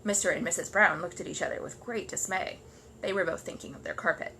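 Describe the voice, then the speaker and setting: A woman reading a children's story aloud in animated storybook narration. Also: lowish voice, fast talker, vocal fry, a little hiss or hum.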